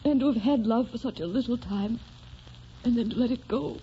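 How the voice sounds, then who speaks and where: A woman's voice: a little high, fairly quick, slightly rough. An actress playing a tender, sorrowfully resigned young woman in love, in earnest, restrained old-time radio melodrama. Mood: anguished love. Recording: dull vintage radio recording with music.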